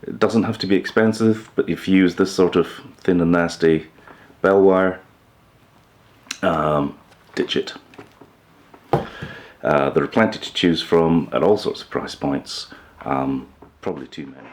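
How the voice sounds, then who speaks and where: A man presenting an informal explainer video in a measured, matter-of-fact way. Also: low voice, slight background noise. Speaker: host of a hi-fi upgrade video channel.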